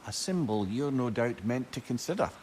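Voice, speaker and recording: An actor playing a wise, measured male mentor in a video game, speaking in a calm, reflective and eloquent way. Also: medium pitch, a little hiss or hum, natural voice.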